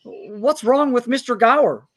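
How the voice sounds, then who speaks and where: A man's voice: very high voice, measured pace, no echo, clean studio sound. A man playing a bewildered, distressed family man, stammering and agitated, in old-time radio play style.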